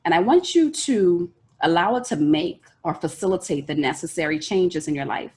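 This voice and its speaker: Low-voiced Bahamian woman teaching earnestly. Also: darkish tone. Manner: earnest, direct, speaks fast at times. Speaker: life coach teaching a session. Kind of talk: motivational teaching talk.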